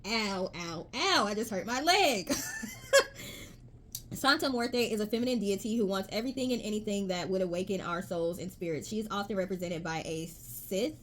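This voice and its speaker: A woman's voice: mid-range voice, normal speed, slight background noise. A woman giving a casual tarot reading to her viewers, chatty and emphatic, reading card messages aloud. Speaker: tarot reader.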